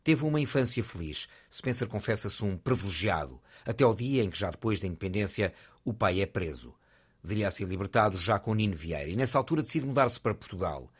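A man narrating a television profile in a measured, scripted delivery. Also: on the low side, expressive, breathy edge.

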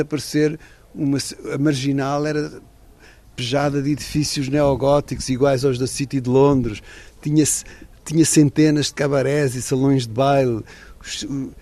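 Man, middle-aged, author in a radio interview, rambling thoughtful storyteller, average pitch. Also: dark tone, no echo. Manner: thoughtful, digressive, many restarts. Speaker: author and former correspondent.

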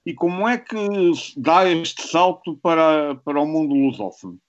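A man's voice: fairly high pitch, expressive, normal speed, lo-fi sound. A man acting as interviewer, putting casual, direct questions to a guest.

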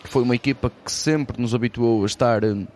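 A male radio guest with a European Portuguese accent and a mid-pitched voice. He talks quickly, in an earnest and formal academic way, like a lecturer being interviewed. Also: a little mellow, slight background noise. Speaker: studio guest, university sports lecturer.

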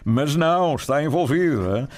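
A man's voice: on the high side, expressive, moderate pace, a little mellow. A man interviewing a guest in an informal, conversational way, often prompting with tag questions.

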